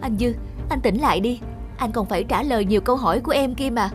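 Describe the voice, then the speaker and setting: A woman's voice: a little high, fast talker, faint music behind, close to an everyday voice. A woman voicing a caring, naive young nurse in a cartoon, earnest and polite. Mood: anxious, pleading. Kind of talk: animated cartoon dialogue.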